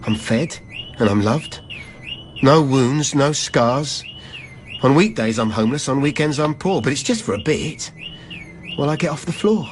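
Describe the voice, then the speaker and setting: An actor playing a wistful graduate who lives in a van, earnestly persuading himself in lyrical radio drama verse. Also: male voice, medium pitch, expressive, moderate pace, pauses often, a little hiss or hum, natural voice. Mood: defensive hope. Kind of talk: poetic radio drama monologue.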